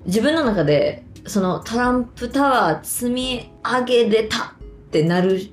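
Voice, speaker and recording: A woman's voice: on the high side, lively intonation, measured pace, roomy sound, soft background music. A woman chatting casually as a co-host, reacting often and asking curious questions. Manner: reactive, inquisitive listener with frequent backchannels. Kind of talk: casual chat.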